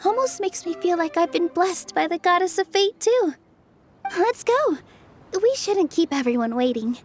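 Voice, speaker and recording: Young dancer, high light voice, soft and earnest, encouraging, faint game music. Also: female voice, expressive, normal speed. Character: gentle hopeful young female dancer-mage. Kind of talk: video game cutscene dubbing.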